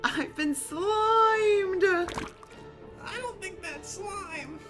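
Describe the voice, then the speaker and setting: A woman voicing excitable, squabbling storybook witches in a shrill, creaky, exclaiming voice. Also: very slow, music in the background, full character voice. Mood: disgusted.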